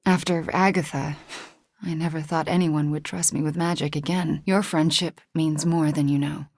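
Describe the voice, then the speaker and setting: A powerful young sorceress from a superhero game. Her voice sits a little low for a woman and is recorded very dry, with no room around it. She speaks earnestly and introspectively, a self-doubting heroine with a wry edge. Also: fairly even intonation, normal speed, dry recording. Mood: guilty, earnest. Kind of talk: video game voice acting.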